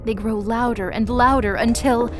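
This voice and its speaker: A woman's voice: fairly high pitch, fairly even intonation, normal speed. A woman narrator reading a scripted dramatic story. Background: music bed.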